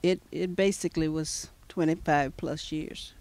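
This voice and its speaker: An older woman answering as a customer, soft-spoken and polite, pausing often with fillers, recorded outdoors on a handheld mic. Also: deep voice, steady tone. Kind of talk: casual testimonial answers. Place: outdoors in front of a house. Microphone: handheld mic held by the interviewer.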